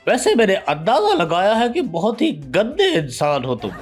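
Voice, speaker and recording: A man with a Pakistani Urdu accent playing a pompous, boastful veteran journalist guest in a comedy sketch, with deadpan boasts. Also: sits high, normal speed, faint music behind, heavily acted. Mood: mocking. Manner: deadpan boasts, breaks into sung lines.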